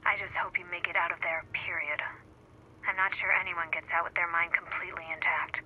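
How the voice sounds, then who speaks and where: A woman's voice: lowish voice, steady tone, fairly quick, breathy edge. A woman playing an anxious, haunted ally in a horror game, heard through a radio filter. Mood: uneasy, haunted. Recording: thin phone-like radio, loud noise.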